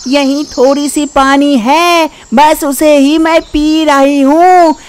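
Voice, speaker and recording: A woman's voice: sits high, fairly even intonation, takes it slowly, pitched above the performer's own voice. A woman voicing a cunning, scheming female fox in a children's cartoon story, with theatrical delivery. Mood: scheming, coaxing.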